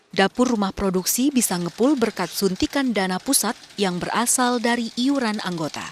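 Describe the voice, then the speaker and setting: A woman reporter giving scripted narration for a local news feature in a measured, even reading. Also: medium pitch, slight background noise.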